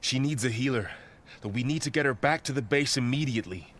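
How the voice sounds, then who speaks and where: A man playing a calm, earnest warrior and loyal protector in a video game. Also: mid-range voice, fairly quick, close to an everyday voice. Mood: resolute. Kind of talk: video game cutscene acting.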